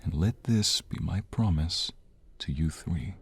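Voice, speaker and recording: A man playing a tender, devoted mobster husband in an ASMR romance roleplay, speaking in a hushed, earnest, husky, gravelly voice. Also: sits low, full character voice. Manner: earnest, sentimental, hushed, unhurried.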